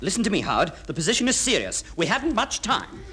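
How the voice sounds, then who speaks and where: A brisk officer barking clipped orders at a quick clip, with a voice that swings far up and down, as an actor plays him. There is a faint hiss behind him. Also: male voice, high-pitched, huge pitch swings, bright, forceful tone, heavily acted. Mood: commanding. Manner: clipped, commanding. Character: brisk male British army officer.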